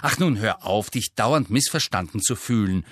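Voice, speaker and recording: A male narrator voicing a brisk, sensible, bossy teenage schoolgirl with a lecturing manner in an audiobook. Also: mid-range voice, lively intonation, a bit bright, no echo, studio quality, pitched above the performer's own voice. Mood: impatient, sharp.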